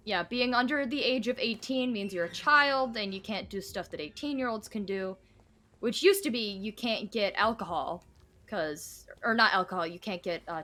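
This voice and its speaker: A woman in her twenties chats through a game stream in a casual, joking way. Her voice is bright and a little sharp, and her pitch swings widely as she reacts and answers her viewers. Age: 20s. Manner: joking, chatty, answering chat. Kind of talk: casual live gameplay chat.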